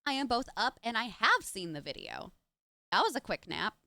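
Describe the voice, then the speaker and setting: A woman's voice: on the high side, very animated, moderate pace, gravelly, sharp tone, no echo, heavily acted. A woman voicing a sardonic, tired teenage girl in a visual novel, talking in a dry, weary way.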